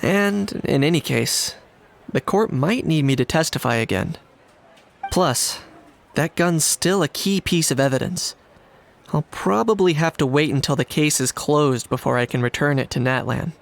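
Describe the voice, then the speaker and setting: Calm, creaky-voiced young adventurer. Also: male voice, a little high. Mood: deadpan, relaxed. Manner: deadpan, measured, understated. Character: calm, reserved young male adventurer, matter-of-fact. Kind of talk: video game voice acting.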